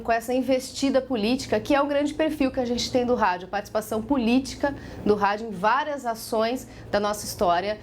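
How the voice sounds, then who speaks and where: A woman's voice: fairly high pitch, a little hiss or hum. A woman with a Brazilian accent explains things in an interview in a didactic way, posing questions and answering them. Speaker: radio history expert interviewee.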